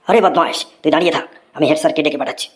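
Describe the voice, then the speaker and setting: An actor voicing a theatrical, excitable schoolteacher who scolds and gushes in a comedy cartoon. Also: mid-range voice, rapid-fire, background noise, natural voice. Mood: angry. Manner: theatrical, swinging from scolding to gushing praise. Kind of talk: exaggerated Bengali cartoon comedy dubbing.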